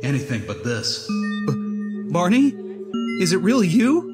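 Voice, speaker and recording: A man acts a haunted, downcast drifter baring his soul in a comic-book drama. His fairly high voice moves expressively up and down, with loud music behind it. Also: huge pitch swings, a little slow, in a large hall, exaggerated voice. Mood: stunned. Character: downcast, haunted drifter mourning a lost friend. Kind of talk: comic-book dub voice acting.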